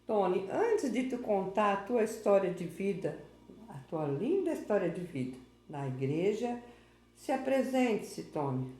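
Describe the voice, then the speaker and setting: A woman's voice: mid-range voice, very animated, measured pace, warm tone, reverberant. A woman interviewing a guest informally, prompting him often and repeating his name. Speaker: interviewer, local history host.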